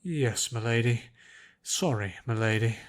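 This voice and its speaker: A man's voice: mid-range voice, unhurried, voice pushed down, close to an everyday voice. A man playing a put-upon old butler, tired and deferential, in a fantasy story. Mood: weary, obedient.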